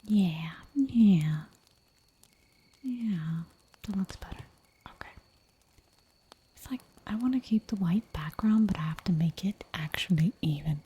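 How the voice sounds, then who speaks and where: Lady whispering asmr stream stuff, super airy and mellow, giggly and rambly. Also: mid-range voice, measured pace, long pauses, husky. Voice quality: soft whisper throughout. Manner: giggly, rambling, playful asides. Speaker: ASMR livestreamer. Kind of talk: whispered ASMR chat while drawing.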